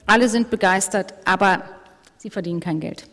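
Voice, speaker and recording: Brief: woman panel moderator, brisk and wry, mid-pitched, reverberant hall sound. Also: a bit bright. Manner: brisk, wry, hurries speakers along. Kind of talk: moderating a live panel discussion. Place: conference stage.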